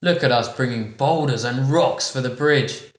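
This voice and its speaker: A man voicing a great, boastful male monkey who booms and mocks a tiny squirrel. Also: a little slow, echoey room, close to an everyday voice. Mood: scornful. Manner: booming, scornful and teasing.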